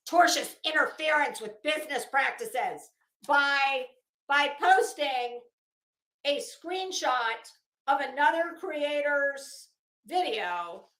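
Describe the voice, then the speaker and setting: A high-pitched woman hosts a casual livestream, reading aloud and commenting in a sarcastic, theatrical way. She takes her time and swears freely. The room rings with echo around her voice. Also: bright, forceful tone. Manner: sarcastic, mocking, profane. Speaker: livestream host. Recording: echoey untreated room.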